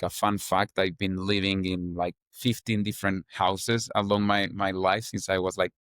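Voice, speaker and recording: A young Chilean man with a fairly low voice talks through his story in accented English, loose and chatty, leaning on fillers. The sound is close and dry, with no room around it. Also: clean tone, no room sound. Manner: loose, chatty, strings ideas with "like" and "kind of". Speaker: startup founder guest. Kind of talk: casual interview answers.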